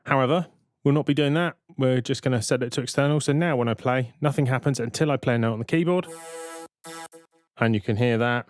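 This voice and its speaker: A man with a mid-pitched voice narrates a software tutorial in an informal, matter-of-fact way. He is recorded close and dry, with some music behind him. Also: brisk, no room sound. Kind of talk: casual tutorial narration over screen recording.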